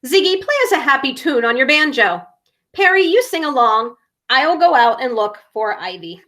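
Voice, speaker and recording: A brave young storybook bear speaks brightly and with lively inflection, voiced by a woman reader. He is kind, determined and encouraging. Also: high-pitched, moderate pace. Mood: cheerful.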